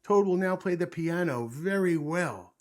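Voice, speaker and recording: A man voicing a strange, grand announcer from a storybook dream, calling out ceremoniously in a booming way. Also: expressive, measured pace.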